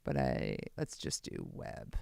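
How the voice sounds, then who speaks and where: A woman with a low voice, rambling excitably on a casual live stream as she plays bass and fiddles with her gear. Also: slow, dry recording, clean studio sound. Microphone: streaming setup mic.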